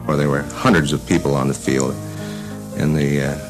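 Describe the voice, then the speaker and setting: A man's voice: extremely low pitch, little pitch movement, moderate pace. A man giving an unscripted eyewitness account in a 1950s radio interview. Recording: 1950s radio tape, faint music.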